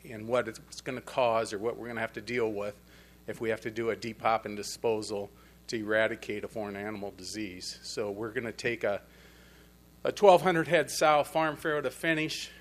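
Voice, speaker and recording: A man's voice: medium pitch, fairly bright tone, a little hiss or hum. Earnest plain-spoken man presenting. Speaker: conference presenter on the pork industry. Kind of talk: speaking at a conference panel. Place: conference hall.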